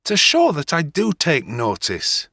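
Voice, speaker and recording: An actor playing a sullen, wounded young farmhand in a dramatic audiobook, gruff and resentful. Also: male voice, fairly high pitch, wildly swooping pitch, a little slow, pushed and bright, dry recording, clean studio sound, exaggerated voice. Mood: hurt, agitated.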